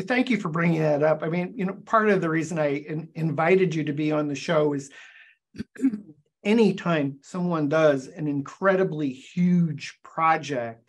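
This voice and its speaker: An older man hosting a video call interview, talking in halting stops and starts with many fillers. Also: on the high side. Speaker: show host and forum founder. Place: indoors, virtual backdrop. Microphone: webcam on video call.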